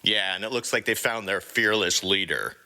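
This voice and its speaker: A man's voice: fairly low pitch, normal speed, bright, forceful tone, voice pushed down. A man voicing an exasperated, sarcastic male space outlaw leader in a comic fan fiction audio drama.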